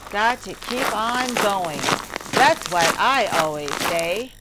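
Very slow woman reading aloud, noisy recording. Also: medium pitch, animated. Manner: deliberate, word-by-word enunciation. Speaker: teacher narrator. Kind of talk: slow read-aloud story lesson for English learners. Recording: heavy background noise, clicks.

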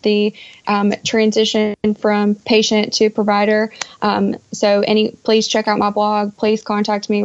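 A woman's voice: mid-range voice, creaky, muffled recording. A young woman answering interview questions conversationally as a podcast guest, over an internet call. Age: young adult.

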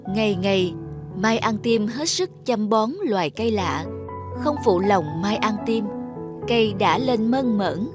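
A woman's voice: medium pitch, animated, a little slow. A woman narrating a children's fairy tale from a script. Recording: music behind the voice. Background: music.

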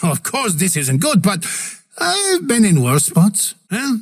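An actor playing a hearty, courteous and dauntless adventurer in a video game, with a theatrical, husky delivery. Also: male voice, sits high, wildly swooping pitch, slow, heavily acted. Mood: wary. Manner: theatrical, courteous, punctuated with tag questions. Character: hearty, gentlemanly adventurer and fighter, jovial, dauntless. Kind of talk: video game character voice lines.

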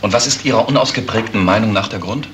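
An actor playing a wry, suspicious gentleman in a 1970s German radio crime drama, sardonic and probing. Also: fairly low pitch, fast talker, a little hiss or hum, lightly acted.

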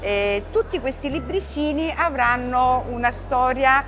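A woman's voice: sits high, expressive, unhurried, fairly bright tone, soft background music. A middle-aged woman from southern Italy, a nurse and author, answering interview questions hesitantly with many fillers. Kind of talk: casual interview. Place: studio with printed backdrop.

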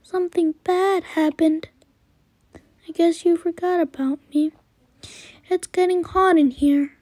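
Creepy high-pitched burnt doll child, voiced by a woman. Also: steady tone, takes it slowly, dark tone, no room sound. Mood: sweetly menacing. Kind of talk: scripted horror character voice lines.

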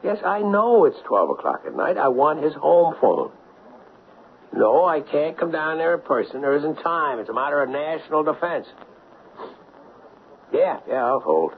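Hard-nosed police sergeant, radio play; urgent and blunt, muffled noisy broadcast sound. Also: male voice, fairly high pitch, sing-song, a little slow. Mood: urgent, exasperated. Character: hard-nosed, impulsive, harried male police detective sergeant. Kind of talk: radio drama acting.

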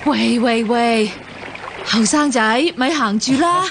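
An actress with a Hong Kong Cantonese accent playing a frail old beggar woman in a radio drama, halting and plaintively calling. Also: on the high side, lively intonation, slight background noise, heavily acted. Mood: pleading. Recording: loud running stream effects behind.

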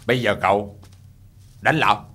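Guy doing a teasing old grandpa figure, husky, bossy, lots of chuckles. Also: lowish voice, moderate pace, bright, forceful tone. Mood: commanding. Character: mysterious playful old man, teasing and odd.

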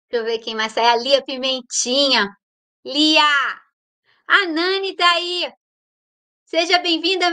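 Woman, Brazilian Portuguese accent, high voice, bright tone, chatty livestream host, playful. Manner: chatty, playful, greets viewers warmly by name. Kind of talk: casual live chat greeting viewers.

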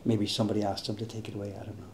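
A man's voice: fairly low pitch, little pitch movement, very fast, a little mellow, slight background noise. An older man hosting a casual live-stream chat, speaking emphatically and repeating himself for stress. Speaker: craftsman host of a live stream. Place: indoor workshop.

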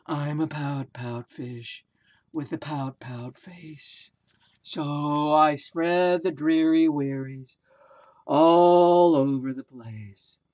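Here is a sulky male storybook fish as played by a woman. The voice sits low and drags each word out slowly, mopey and resigned to gloom. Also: steady tone, a little mellow. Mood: dreary, gloomy. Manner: slow, drawn-out, mopey delivery.

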